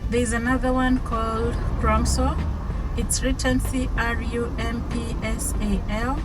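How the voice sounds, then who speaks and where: A woman's voice: a little high, level tone, measured pace, low-quality recording. A middle-aged woman from Africa, English not her first language, chatting casually and laughing easily as she drives. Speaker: vlogger. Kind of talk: casual vlog while driving. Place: in a car on the road. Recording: music playing under the voice.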